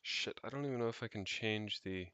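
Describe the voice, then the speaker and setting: A man's voice: fairly low pitch, fairly quick, sharp tone, clean tone, no room sound, muffled recording. A man chatting off the cuff on a livestream, rambling and thinking aloud with casual swearing as he tinkers. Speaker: streamer, tech hobbyist. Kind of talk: casual unscripted livestream chat while tinkering. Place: indoors, rented home.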